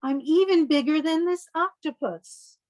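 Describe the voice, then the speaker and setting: A big, boastful giant squid from a children's storybook, as played by a woman reading aloud. It brags in slow, drawn-out statements, pitched fairly high. The sound is close and dry. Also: a little mellow, dry recording. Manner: slow, bragging delivery. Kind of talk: children's picture-book read-aloud.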